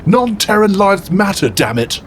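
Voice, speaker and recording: A self-righteous demigod warlord, as a man puts him on, preaches with earnest indignation. His pitch swings widely as he lectures. A steady hiss of noise sits behind the voice. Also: a little slow. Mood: indignant.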